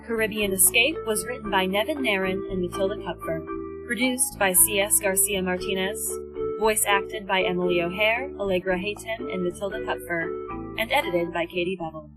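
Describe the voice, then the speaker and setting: A woman reads out credits in a scripted announcer's delivery. Her voice sits at a middle pitch, and loud music plays along with it. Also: moderate pace, low-quality recording.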